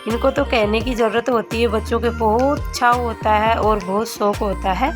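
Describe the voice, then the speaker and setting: A woman in her thirties from Rajasthan, narrating her family vlog in a chatty, halting way, her voice hoarse from a cold. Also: a little high, steady tone, music in the background. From Rajasthan, India. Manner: chatty, halting, many filler sounds. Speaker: family vlogger.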